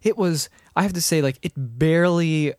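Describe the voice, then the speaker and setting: Guy rambling about a movie with his buddy, dry close sound, jumps into silly high quote voices sometimes. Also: normal speed, a little mellow, no echo. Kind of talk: casual movie discussion.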